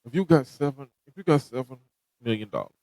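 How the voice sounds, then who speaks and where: A man's voice: medium pitch, animated, fast, warm tone, no echo, poor audio. A man hosting a podcast, giving a direct, motivational talk that repeats its points. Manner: preachy, direct, repeats himself. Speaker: podcast host.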